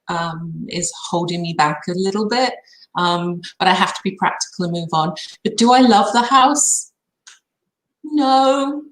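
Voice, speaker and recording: A woman's voice: medium pitch, a bit bright. A middle-aged woman chatting casually about her own life as a guest on a live reading. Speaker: guest having her cards read. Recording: roomy, echoing sound.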